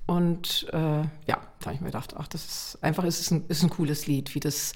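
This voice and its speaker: A middle-aged woman from Munich, chatty and expressive, telling personal stories as an interview guest. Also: sits low, moderate pace. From Munich, Germany. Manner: chatty, expressive, acts out remembered remarks. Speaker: interview guest, children's songwriter and singer. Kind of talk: animated personal storytelling.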